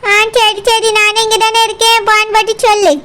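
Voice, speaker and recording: A woman's voice: very high-pitched, monotone, moderate pace, pushed and bright, slight background noise. A woman voicing a scheming, threatening old villain woman in a cartoon, with a Kanyakumari Tamil accent. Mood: bossy. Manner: plotting aloud to herself, menacing. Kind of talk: cartoon voice acting.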